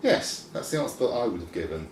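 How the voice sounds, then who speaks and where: A man voicing a calm, kindly teacher in a children's Bible story. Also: deep voice, quick pace. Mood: gentle, instructive. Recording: roomy, distant mic, faint noise.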